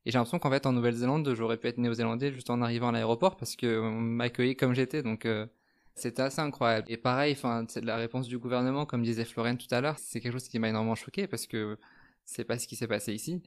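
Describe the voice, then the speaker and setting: Soft-spoken young man, hesitant. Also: medium pitch, steady tone, quick pace. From France. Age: 20s. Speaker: guest. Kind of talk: reflective personal testimony.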